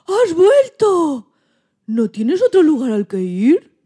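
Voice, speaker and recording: A woman playing a tender old male wolf in a children's radio-play storybook, with drawn-out, expressive delivery. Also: sits high, heavily acted. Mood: tender, hopeful. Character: old male wolf, tender, gruff but soft-hearted.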